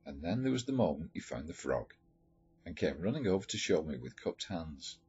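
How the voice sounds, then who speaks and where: Deep, husky male storyteller. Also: very animated, moderate pace, darkish tone. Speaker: storyteller reading aloud. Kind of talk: expressive read-aloud of a letter story. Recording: dull lo-fi recording with music behind. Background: background music.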